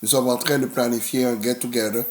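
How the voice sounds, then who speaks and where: A man's voice: medium pitch, fairly even intonation, fairly quick. A man hosting a podcast, wrapping up the episode in a playful way and dropping in English words. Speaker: podcast host. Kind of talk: podcast sign-off.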